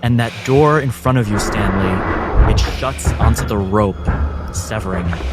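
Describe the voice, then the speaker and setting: A man working as game master, giving deliberate, dramatic, cinematic narration of a tabletop game. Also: lowish voice. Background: loud film-style music.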